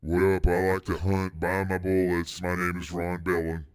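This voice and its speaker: A man putting on a deep, gruff voice as a big burly buddy who likes to hunt. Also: flat delivery, normal speed, close to an everyday voice. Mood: joking.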